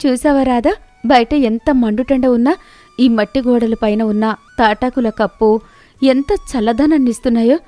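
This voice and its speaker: A woman's voice: fairly high pitch, moderate pace, dark tone, no echo, natural voice. A woman voicing a kind, hardworking young daughter-in-law in a village moral story, earnest and respectful. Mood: bright, determined.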